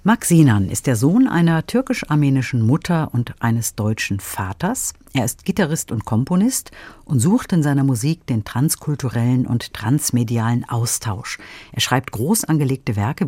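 Lively, mellow woman presenting on radio. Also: rumbling low, moderate pace, husky, no echo. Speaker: radio presenter of book reviews. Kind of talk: scripted moderation with questions.

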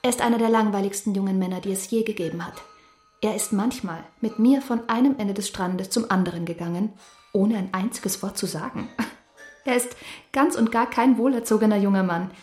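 Woman acting peevish gentlewoman in echoing room. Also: mid-range voice, fairly quick, faint music behind, natural voice. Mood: peevish, dismissive. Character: peevish, self-important young gentlewoman, quick to contradict. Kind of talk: German radio play acting.

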